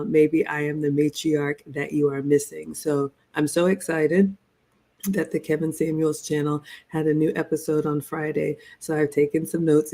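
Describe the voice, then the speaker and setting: A woman in her forties hosting a reaction webinar, talking in a measured, motherly lecturing way. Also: low voice, warm tone. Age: 40s.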